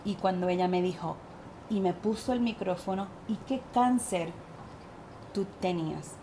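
A woman with a Puerto Rican accent, a guest medium telling her story in an animated interview. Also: lowish voice, normal speed, a little hiss or hum, rough recording. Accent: Puerto Rican Spanish. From Puerto Rico.

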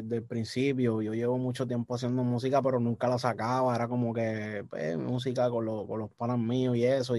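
A young man with a Puerto Rican Spanish accent, talking casually as a guest musician, relaxed and repeating words as he thinks. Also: mid-range voice. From Puerto Rico. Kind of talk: casual interview chat.

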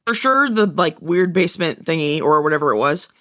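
A podcast co-host speaks in a medium-pitched voice with a wry, joking manner. The talk is informal fan chat. It sounds dry, with very little of the room in it. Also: lively intonation, brisk, fairly bright tone, dry recording. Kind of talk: casual fan discussion chat.

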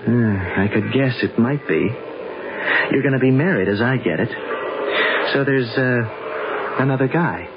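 A man's voice: medium pitch, unhurried, long pauses, over music, natural voice. An actor playing a stubborn, lovesick young American man in a radio drama, pleading and indignant. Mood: hurt, indignant. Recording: muffled, noisy old radio broadcast.

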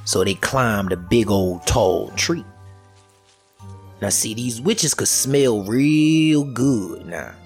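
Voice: mid-range voice, expressive, slow. A storyteller retelling a folk tale in a folksy, dramatic way, with music behind. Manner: folksy, dramatic, repetitive chanting delivery.

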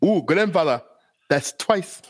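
A sly, teasing grandson, read by a man ticks off his grandfather's slips at a slow pace. The voice is high and lively. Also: breathy edge, heavily acted.

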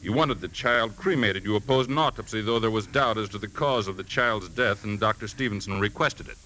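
An actor playing a blunt, probing police lieutenant in 1950s radio drama style, questioning briskly. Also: male voice, fairly low pitch, sharp tone. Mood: pressing, accusing. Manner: brisk, pressing, clipped questioning. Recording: noisy 1950s radio broadcast recording.

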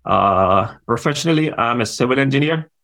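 A man in his forties with a gravelly, creaky voice. His is Urdu, and he answers interview questions at a middling pitch, pausing often on "uh". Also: lively intonation, a bit bright. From Pakistan. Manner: hesitant, with frequent "uh" fillers. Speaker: guest.

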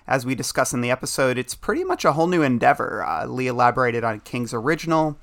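A man's voice: medium pitch, very animated, quick pace, vocal fry, a bit bright. A man co-hosting a podcast, introducing the episode and asking questions in an easygoing way. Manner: easygoing, conversational. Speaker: podcast co-host.

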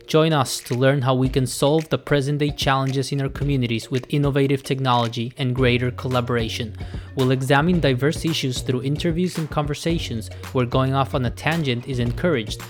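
A man with a mid-pitched voice hosting a podcast. He reads a polished intro, then asks questions slowly with many "uh"s. His voice carries a long reverb. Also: steady tone, soft background music. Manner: scripted intro, then hesitant questions with fillers. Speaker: podcast host.

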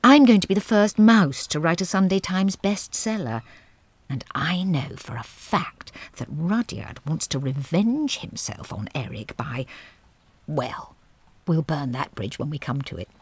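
Gossipy mouse storyteller, husky, expressive pitch, self-important, wry, audio drama. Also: female voice, measured pace, no room sound, heavily acted. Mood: conspiratorial whisper. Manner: confiding, wry, theatrical, dropping into whispers. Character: gossipy, self-important female mouse narrator with literary ambitions.

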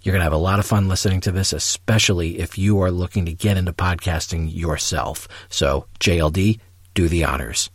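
A man with a deep, low voice reads an upbeat, scripted podcast intro. His delivery is promotional and steady. The sound is dry, with no room. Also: brisk, breathy edge, no echo. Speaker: show introduction narrator.

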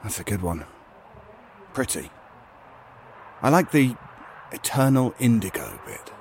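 A man's voice: medium pitch, sing-song, unhurried, gravelly, a little hiss or hum. A man playing a weary, stubborn veteran player of a surreal game, wry and conversational in a naturalistic audio drama. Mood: wry, resigned.

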